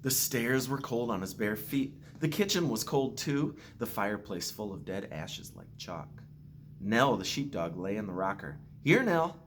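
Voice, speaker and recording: A man's voice: fairly low pitch, measured pace, slight background noise. A man reading a children's storybook aloud expressively, with playful asides. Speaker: school staff member reading aloud to children.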